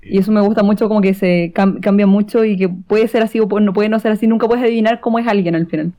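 A woman's voice: medium pitch, steady tone, quick pace, lo-fi sound. A woman co-hosting a podcast, speaking hesitantly and often searching for words. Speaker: podcast co-host. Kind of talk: casual chat.

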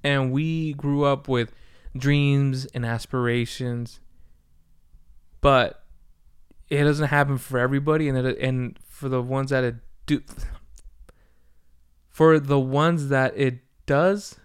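A man's voice: medium pitch, fairly even intonation, slow pace, long pauses, clear, resonant tone. A man of thirty, a podcast host, giving a rambling, candid solo update, swearing freely and sounding tired from poor sleep. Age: 30s. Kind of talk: casual solo update monologue.

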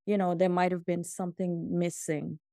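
Gravelly-voiced woman hosting an interview. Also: lowish voice, flat delivery, moderate pace, warm tone, no echo, studio quality. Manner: supportive, frequent affirmations. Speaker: podcast host. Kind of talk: conversational interview.

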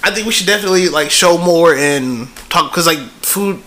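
A woman's voice: fairly quick, a bit bright. A woman with an American accent co-hosting a podcast in casual chat, joking and laughing. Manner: laughing, joking, drops into a deep put-on voice. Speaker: podcast co-host.

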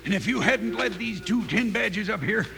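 An actor playing a brutal, sneering cattle rustler with a gravelly voice in a 1950s Western radio drama. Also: male voice, high-pitched, lively intonation, moderate pace. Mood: menacing, scornful. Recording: echoing old radio transcription.

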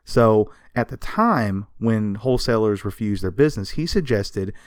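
A man's voice: fairly low pitch, lively intonation, normal speed, dry recording, studio quality. A man co-hosting a podcast, casually telling history in an emphatic, conversational, explanatory way. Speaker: podcast co-host. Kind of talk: casual storytelling of comics history.

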